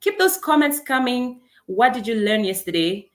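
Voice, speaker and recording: A woman's voice: on the high side, expressive, normal speed. A young woman from Zambia hosting an online career event on a video call, upbeat and welcoming as she greets attendees by name. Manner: upbeat, welcoming, reads chat names aloud. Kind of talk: live webinar hosting.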